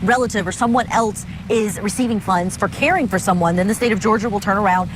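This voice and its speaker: Female news correspondent amid clear street noise. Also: mid-range voice, fast talker. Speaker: legal correspondent. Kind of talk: live news reporting. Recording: noisy outdoor street with traffic.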